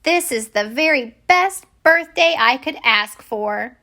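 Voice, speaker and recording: A woman voicing a big old motherly grandmother fish in a picture book, contented and loving, with a slow, folksy delivery. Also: high-pitched, expressive, pushed and bright, voice pushed up, exaggerated voice. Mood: doting, contented.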